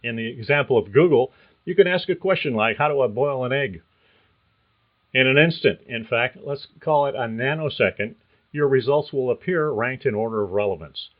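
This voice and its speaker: Male host reading career advice from a script, measured and explanatory; thin, phone-like recording. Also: medium pitch. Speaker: podcast host. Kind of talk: scripted narration.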